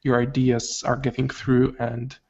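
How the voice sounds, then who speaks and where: A man's voice: mid-range voice, monotone, measured pace, vocal fry, darkish tone, highs cut off. A man co-hosting a casual chat, laid-back and encouraging.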